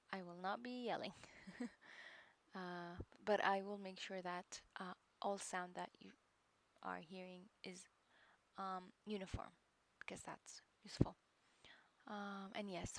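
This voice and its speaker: A woman with a low speaking voice chatting casually on a live stream as she works through a course, hesitant and full of fillers and muttered asides. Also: level tone, a little slow, creaky, pauses often. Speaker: livestreamer studying a course.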